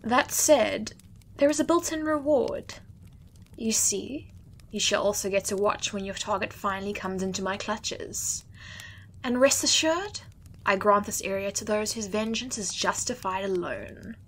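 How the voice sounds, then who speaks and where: A woman's voice: mid-range voice, measured pace, a bit bright, slight background noise. A woman playing a wry, grand female judge of the dead who pronounces sentences in a stately, theatrical way. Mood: imperious. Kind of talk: audio drama acting.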